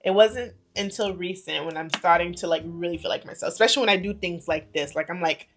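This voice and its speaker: A woman in her thirties chatting casually to camera as a vlogger, playful and confiding, her loudness and pace rising and falling a lot. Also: lowish voice, fast, slight background noise. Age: 30s.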